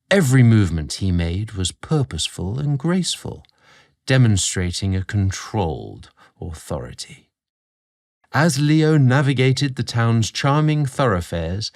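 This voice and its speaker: A British man narrating a scripted story, theatrical and deliberate in his phrasing, drawing out words as a podcast host. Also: mid-range voice, no echo, studio quality.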